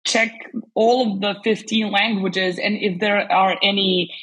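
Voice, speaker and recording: A woman's voice: mid-range voice, fairly even intonation, measured pace, fairly bright tone, slightly rough, poor audio. A woman, a language specialist chatting as an interview guest, talking loosely with lots of fillers and restarts. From Serbia. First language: Serbian. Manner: chatty, many fillers and restarts, laughs often. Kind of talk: casual interview chat.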